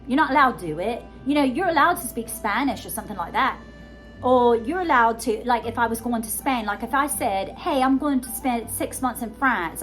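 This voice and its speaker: A woman's voice: a little high, low-quality recording. A woman from the United States with an adopted British accent, speaking in a rambling, emphatic, conversational way as she gives a casual self-help monologue. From United States, born in Georgia. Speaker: vlogger, self-help coach.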